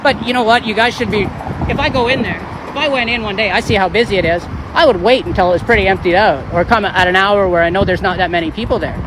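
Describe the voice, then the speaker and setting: A man lecturing police in a rapid, insistent stream outside a station. His voice rides high for a man, and heavy traffic noise from the street fills the background. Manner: insistent, lecturing, repeats words. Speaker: citizen journalist filming police. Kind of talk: argumentative street confrontation. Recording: loud outdoor traffic noise.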